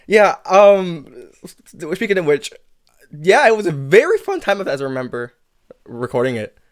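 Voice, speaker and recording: A man hosting a casual interview in a chatty, somewhat halting manner. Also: on the high side, big pitch swings, dry recording, studio quality. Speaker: host and creator of an audio drama.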